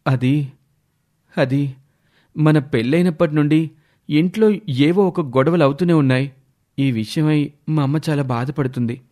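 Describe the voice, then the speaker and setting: A man acting a hesitant, meek young husband in a story, speaking haltingly. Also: on the high side, dark tone, close to an everyday voice. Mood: hesitant, uneasy.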